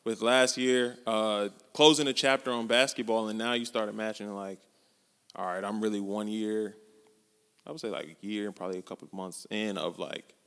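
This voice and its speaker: A man in his twenties co-hosting a podcast, talking casually and reflectively in relaxed slang. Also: on the low side, normal speed, clear, resonant tone. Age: 20s. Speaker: podcast co-host. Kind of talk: casual chat. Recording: long hall reverb.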